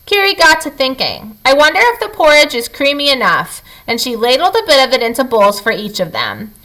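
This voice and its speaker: A woman reading a children's picture book aloud as a storyteller, with expressive, dramatic emphasis for young listeners. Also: high voice, rough recording.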